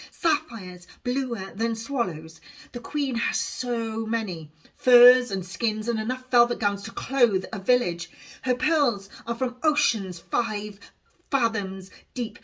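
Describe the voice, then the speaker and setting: A woman voicing a proud, guarded thirteen-year-old maid at a royal court in a storybook, speaking in a brisk, self-important way. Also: fairly high pitch. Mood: boastful.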